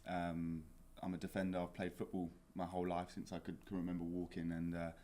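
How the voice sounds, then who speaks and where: A young man from England with a southern English accent, a student soccer captain giving halting, filler-laden interview answers in a college studio. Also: sits low, completely flat delivery, dark tone. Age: young adult.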